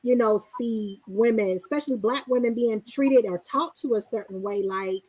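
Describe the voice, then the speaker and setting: A woman co-hosting a casual conversation, making measured, earnest points with a few hesitant fillers. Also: fairly high pitch, a little mellow, dry recording, poor audio. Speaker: co-host. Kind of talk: casual chat.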